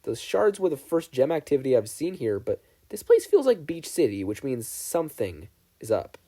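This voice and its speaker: A man voicing an earnest, guarded teenage boy raised as a child soldier, speaking in a measured, matter-of-fact way in a story reading. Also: mid-range voice, huge pitch swings, mellow. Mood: curious. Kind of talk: fan fiction audiobook character reading.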